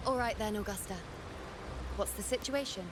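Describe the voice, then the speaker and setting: A woman's voice: mid-range voice, moderate pace, background noise, lightly acted. An actress playing a confident, playful and brash young warrior woman in a video game. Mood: playful, cocky. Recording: loud music behind.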